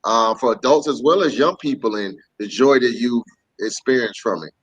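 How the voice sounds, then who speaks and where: A man's voice: medium pitch, moderate pace, husky, poor audio. A man hosting a casual interview, asking a guest questions in a conversational way. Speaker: host.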